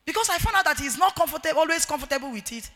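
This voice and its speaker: A middle-aged woman with a Nigerian accent and a loud, forceful voice, preaching a marriage sermon emphatically and repeating key phrases. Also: high-pitched, expressive, racing. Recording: echoey hall with long reverb.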